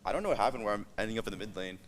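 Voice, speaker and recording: A man of about twenty with a fairly low voice who talks fast. He is a gamer guest on a panel, casual, playful and full of slang. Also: expressive, a little hiss or hum. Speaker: esports pro player, panel guest. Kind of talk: casual chat.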